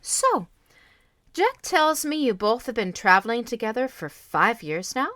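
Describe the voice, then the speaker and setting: Woman doing a motherly folksy older society lady, bright forceful voice, really slow, kind and blunt, radio play style. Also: on the high side, big pitch swings, heavily acted. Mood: kindly, frank. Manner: folksy, drawn-out, emphatic. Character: kindly, plain-spoken, motherly middle-aged society woman with large warmth.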